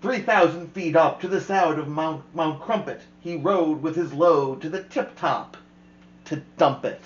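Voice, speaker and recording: A woman host reading a children's rhyming picture book aloud with expressive, rhythmic delivery. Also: sits low, a little slow, roomy sound, highs cut off, slight background noise. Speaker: storyteller host.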